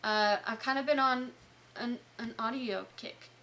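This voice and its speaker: A woman's voice: on the high side, measured pace, fairly bright tone. A woman with a light Southern US accent, co-hosting a book podcast in chatty, enthusiastic conversation, often restarting her sentences. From Southern United States. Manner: chatty, enthusiastic, self-interrupting. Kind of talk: casual chat.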